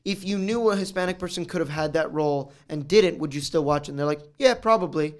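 High-voiced man in animated casual talk. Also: fast talker. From United States. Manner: animated, acts out quoted exchanges. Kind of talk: casual discussion.

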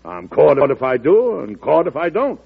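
Commanding old ex-president, lively swooping delivery. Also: male voice, a little high, normal speed, vocal fry, heavily acted. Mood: wry, determined. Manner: emphatic, folksy, self-assured. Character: aging former president, stubborn, commanding, wily political boss. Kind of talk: old-time radio drama acting. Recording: muffled lo-fi old radio broadcast.

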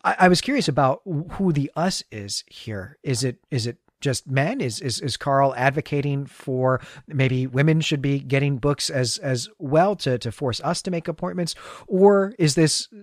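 A man's voice: mid-range voice, moderate pace, no echo, studio quality. A man co-hosting a podcast, talking through a story conversationally and thinking aloud, often repeating words as he works out his point. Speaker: podcast co-host. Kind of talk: conversational literary discussion and story recap.

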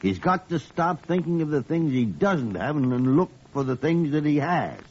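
An actor playing a gruff, fatherly older senior doctor in an old-time radio drama, blunt and given to stammered repetitions. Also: a little high, sing-song, moderate pace. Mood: blunt, admonishing. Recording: muffled, hissy vintage radio recording.

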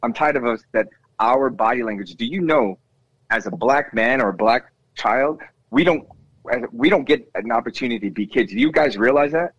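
A man with a bass voice talks with rising passion, stacking up repeated words as he breaks in. The sound is dry and a little dull, with no room around it. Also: normal speed, a bit bright, no room sound. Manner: impassioned, repeats himself when cutting in. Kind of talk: casual discussion.

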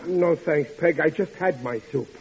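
An actor playing a panicky, bumbling working-class husband who stammers and blusters in a vintage radio comedy. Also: male voice, on the high side, normal speed, lightly acted. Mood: blustering. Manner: flustered, blustery, uneducated colloquial speech. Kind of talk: 1940s radio comedy acting. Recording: dull, noisy old radio recording.

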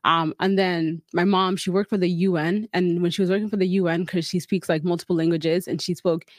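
A woman's voice: lowish voice, brisk, no room sound, clean studio sound. A young woman from South Sudan, a podcast guest, talking casually and telling her life story with many fillers. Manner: relaxed, storytelling, frequent "like" fillers. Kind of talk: casual interview chat.

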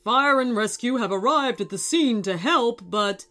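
A woman's voice: a little high, expressive, unhurried, fairly bright tone, soft background music. A woman voicing an urgent radio newsreader giving a news report in a story.

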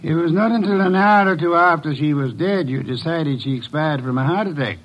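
An actor playing a shrewd, imperious American detective in old-time radio drama, pompous and dryly sardonic, with a gravelly voice. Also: male voice, fairly high pitch, dull audio, lightly acted. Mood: accusing, deliberate. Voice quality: gravelly, husky. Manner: pompous, deliberate, probing.

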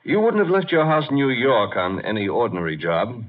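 An actor playing a pretentious, quietly menacing crime boss in a 1950s radio drama, measured and polite with veiled threat. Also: male voice, medium pitch, big pitch swings. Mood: suavely menacing. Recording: dull vintage radio broadcast recording.

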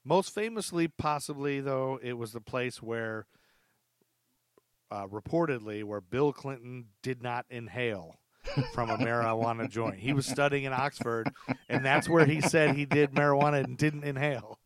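A man's voice: mid-range voice, moderate pace. A man hosting a podcast, telling stories casually and comically, chatty and amused, repeating facts for emphasis. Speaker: podcast host.

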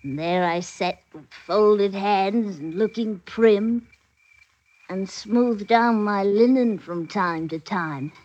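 An actress playing a sly, teasing young colonial maidservant in an old radio drama, with measured archaic phrasing and a dated sound. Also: female voice, medium pitch, a little mellow. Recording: dull vintage radio recording.

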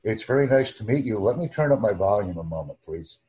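Low, dark, husky American man. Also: lively intonation. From United States. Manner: formal, deliberate, over-enunciated phrasing. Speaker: YouTube channel host. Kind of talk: slow, careful video-call chat.

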